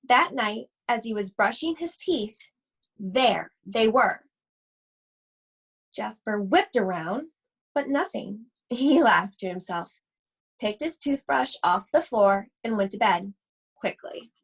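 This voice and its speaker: Casting: female storybook reader, higher-pitched, unhurried, expressive and suspenseful delivery for young listeners. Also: slightly rough. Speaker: school library read-aloud host. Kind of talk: expressive children's storybook read-aloud.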